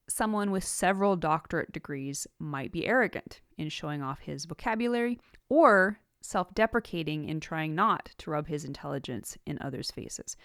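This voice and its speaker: Low-voiced woman narrating instructional tips. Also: dry recording, clean studio sound. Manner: measured, enumerating points clearly. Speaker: podcast host giving writing tips.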